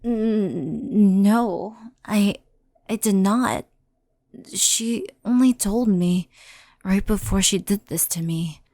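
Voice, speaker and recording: Woman as a slow, creaky resurrected yokai. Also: medium pitch, no room sound, clean studio sound. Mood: hesitant. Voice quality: soft, creaky. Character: gentle, loyal, slightly dazed resurrected female yokai. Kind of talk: ASMR roleplay.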